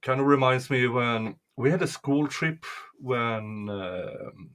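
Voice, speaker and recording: A man talks slowly and thoughtfully in a laid-back stream chat. His voice sits at an ordinary middle pitch. He pauses on fillers as he works his way through a memory. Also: a bit bright. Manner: unhurried, thoughtful, with many fillers.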